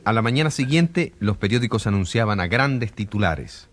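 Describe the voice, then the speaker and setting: A man playing a grave radio reporter in a drama, narrating with a husky voice and declamatory, rhetorical delivery. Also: fairly low pitch, animated, moderate pace, lightly acted. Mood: grave, dramatic. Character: grave male radio reporter covering a controversial trial.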